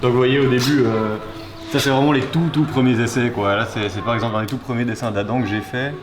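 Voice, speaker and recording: A man's voice: medium pitch, steady tone, fast, noisy background. A young man, a character designer, explaining his work casually with frequent hesitations. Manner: hesitant, many fillers.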